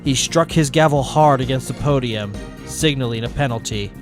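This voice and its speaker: A man narrates a fan story in a plain, even tone at a mid pitch. Clear background music plays behind him. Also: normal speed.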